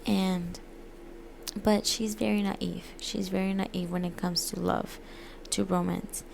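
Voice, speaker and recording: Woman with a very creaky, flat voice. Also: lowish voice, a little hiss or hum. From United States, born in Mexico. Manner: hesitant, halting, frequent pauses and self-corrections. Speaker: student presenting a literature essay. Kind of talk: informal spoken book presentation.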